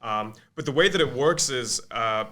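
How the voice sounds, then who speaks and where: Casting: twenties male guest, English accent, bright tone, chatty and self-deprecating. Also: mid-range voice, expressive, moderate pace. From Malaysia. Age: 20s. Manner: chatty, self-deprecating, frequent ums and restarts. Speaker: guest, agency co-founder. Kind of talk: casual podcast interview.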